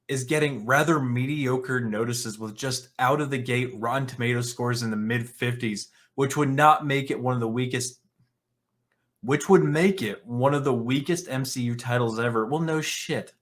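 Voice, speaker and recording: A man's voice: medium pitch, normal speed, a bit bright. A man hosting an online commentary show, reading articles aloud and reacting in a blunt, scornful, sarcastic and profane way.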